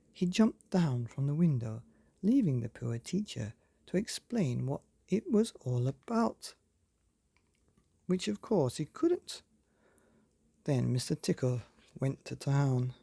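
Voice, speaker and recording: A man reads a children's story in a mellow, husky voice with a lot of creak. His pitch swings widely as he leans into dramatic pauses and speaks straight to his young listeners. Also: no room sound. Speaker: storyteller, podcast reader. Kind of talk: expressive children's bedtime story reading.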